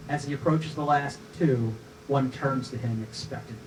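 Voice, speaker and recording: Male narrator, medium pitch, scripted stage directions, live table read, distant mic, slight noise. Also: normal speed.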